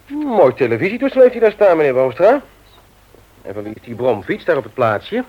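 Stern moralizing Dutchman, husky, muffled radio. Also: on the high side, very animated, brisk. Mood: probing, reproving. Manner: lecturing, emphatic. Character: stern, moralizing man confronting a petty thief. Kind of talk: Dutch radio play acting. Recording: muffled vintage radio recording.

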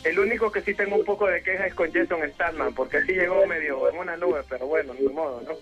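A man's voice: a little high, fast talker, highs cut off, music in the background. A man from Honduras speaking as a correspondent on a film show, giving a casual, conversational report.

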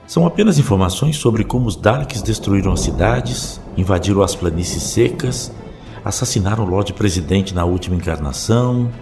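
A man playing a wary, learned alien former professor in an audio drama, explaining things gravely. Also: lowish voice, lively intonation, normal speed. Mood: troubled. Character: learned, wary male Time Lord, reclusive former professor. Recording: faint music under the voice.

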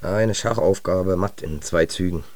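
An actor playing an evasive sixteen-year-old son in a radio drama, halting and defensive, stammering when cornered. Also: male voice, low voice, darkish tone. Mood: sheepish.

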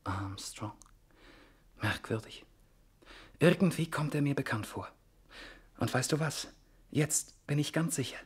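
A wary nephew in a 1960s German radio drama. His voice is mid-pitched and husky. He talks tersely, musing and questioning as he broods. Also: animated, a little slow, pauses often. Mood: hushed, pondering. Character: wary, brooding male nephew puzzling over a stranger.

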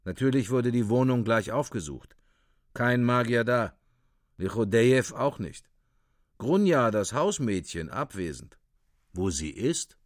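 Guy with mid-pitched voice narrating a radio play, clipped like a report, no room sound. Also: animated, a little slow, no echo, studio quality. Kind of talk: scripted literary radio drama narration.

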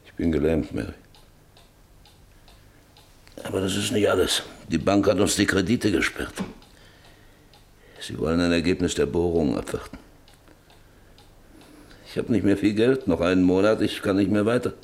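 An embittered landowner obsessed with his venture in a radio drama. His voice is very low and creaky, and he speaks with brooding insistence. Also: moderate pace, pauses often. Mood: desperate, weary. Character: embittered, obsessed, paralysed landowner John Beverly drilling for oil. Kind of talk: German radio play acting.

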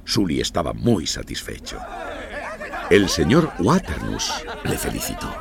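A man's voice: a bass voice, wildly swooping pitch, measured pace, vocal fry, crowd noise. A man narrating a scripted children's story with dramatic storytelling delivery.

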